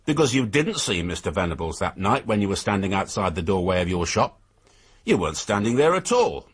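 An actor playing a shrewd, methodical British police inspector in a radio drama, speaking in a measured, formal way with a husky voice. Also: male voice, deep voice, sing-song, full character voice. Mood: accusing.

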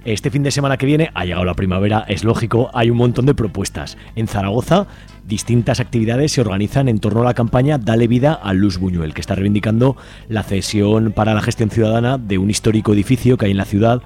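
Quick, mellow-voiced Spanish radio host, a man. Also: on the low side, creaky. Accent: Spanish from Spain. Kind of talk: live community radio interview. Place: radio studio.

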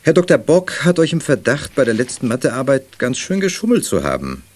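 Middle-aged father, soothing yet a bit stern, very animated pitch, radio-play delivery. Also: fairly quick. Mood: mildly reproachful. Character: calm, teasingly stern middle-aged father of two children. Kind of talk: German children's radio play acting.

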